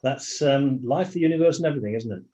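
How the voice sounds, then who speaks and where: A man's voice: mid-range voice, fast, dark tone. A man hosting an online meeting, chatting casually to greet everyone as they arrive, often restarting his sentences. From England. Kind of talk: casual welcoming chat. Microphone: video call. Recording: muffled video call audio.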